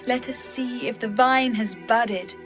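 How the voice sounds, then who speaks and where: A woman reading as a devoted, loving young bride in a measured, poetic dramatized audio Bible style. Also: fairly high pitch, a bit bright, faint music behind. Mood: loving, inviting.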